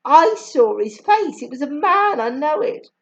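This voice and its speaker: A woman's voice: sits high, big pitch swings, unhurried, highs cut off, pitched above the performer's own voice, exaggerated voice. A woman voicing a firm, insistent young girl in a story reading.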